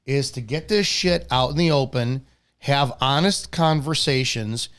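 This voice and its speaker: A man's voice: medium pitch, measured pace, a bit bright. Blunt American man hosting a talk show. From United States. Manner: blunt, profane. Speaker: host of a law enforcement talk show. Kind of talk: live talk show conversation.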